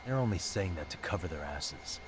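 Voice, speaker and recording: An actor playing a blunt, determined detective in a crime drama game, speaking firmly. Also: male voice, low-pitched, animated, brisk, noisy background. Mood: matter-of-fact. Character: determined, hard-nosed male detective seeking the truth. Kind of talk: dramatic English game voice acting.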